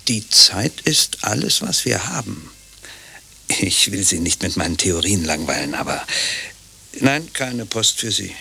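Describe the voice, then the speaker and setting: An actor in a German radio play playing a fussy, nervous husband, gravelly and flustered, eager to please. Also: male voice, on the low side, very animated, a little slow, pushed and bright, full character voice. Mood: obliging. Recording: noticeable background noise.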